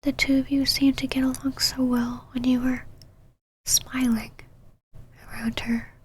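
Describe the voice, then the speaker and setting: A woman voicing a possessive, secretly tender vampire knight in an intimate ASMR roleplay, whispering softly in a haughty yet halting, easily flustered way. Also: high voice, level tone, exaggerated voice. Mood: shy, vulnerable. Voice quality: breathy, soft whisper.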